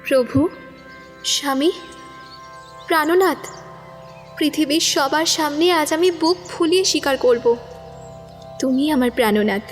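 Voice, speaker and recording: A lovesick young bride, high and impassioned. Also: female voice, a little slow, long pauses, in a large hall, faint music behind. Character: lovesick young woman, devoted and feverish. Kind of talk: audio drama acting.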